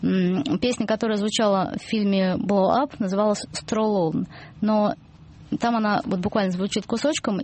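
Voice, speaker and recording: A woman's voice: medium pitch, darkish tone. A woman hosting a radio music show, reading aloud and chatting with hesitant fillers between phrases. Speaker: radio host.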